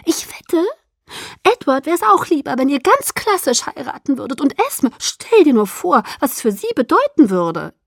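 A woman voicing an excitable, wheedling young woman in an audiobook, with pouty, gushing, dramatic delivery. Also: high-pitched, moderate pace, few pauses, dry recording, clean studio sound, pitched above the performer's own voice. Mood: persuasive. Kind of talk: audiobook character acting.

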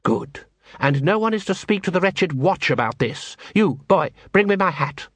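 A man's voice: sits high, lively intonation, moderate pace, no room sound, pitched above the performer's own voice. A man voicing a stern, snappish assassin guild leader in a curt, commanding manner for an audiobook. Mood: snapping, commanding.

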